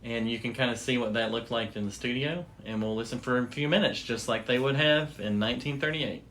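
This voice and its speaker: Man, podcast host, average pitch, casual storytelling, informal, loosely phrased. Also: fairly even intonation, fairly quick, a bit bright.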